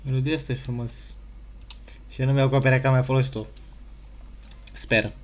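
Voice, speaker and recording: Flat-toned Romanian man, casual gamer. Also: mid-range voice, fast. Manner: offhand, rambling, mild swearing. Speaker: gaming streamer. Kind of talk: casual muttered game commentary. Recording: faint noise, keyboard clicks.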